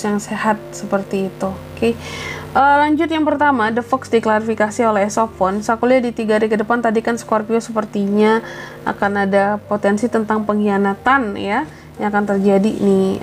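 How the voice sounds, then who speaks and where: A woman with a cold doing a casual, chatty tarot reading, keeping her voice soft and adding lots of fillers and tag questions. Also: medium pitch, a little hiss or hum. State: has a cold, voice kept soft. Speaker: tarot reader.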